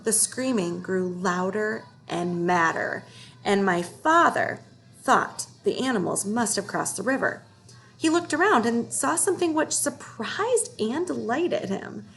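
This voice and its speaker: A woman reading a children's story aloud as an expressive storyteller. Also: medium pitch, vocal fry, poor audio.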